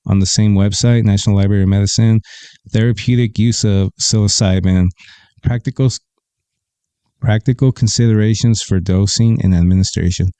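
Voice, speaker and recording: A man with a deep, bassy voice, low in pitch with a dark, mellow and smooth tone. He hosts a podcast in a casual, laid-back way, airing firm opinions. He is recorded dry and close on a desk mic. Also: steady tone, moderate pace, no room sound. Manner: laid-back, opinionated, reads aloud from a web page. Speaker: podcast host. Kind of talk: casual livestream talk.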